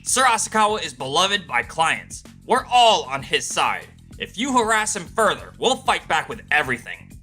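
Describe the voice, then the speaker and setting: A man playing a loyal, righteous colleague confronting a wrongdoer in an animated manga dub, accusatory, with music behind him. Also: on the high side, moderate pace, heavily acted. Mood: resolute accusing. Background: background music.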